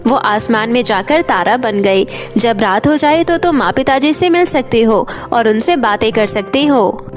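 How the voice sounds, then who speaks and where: A woman as a high-voiced, earnest orphan girl. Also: lively intonation, measured pace, soft background music. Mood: tender. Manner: earnest, tender, pleading. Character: poor orphaned girl, caring, pleading elder sister. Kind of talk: Hindi cartoon moral story.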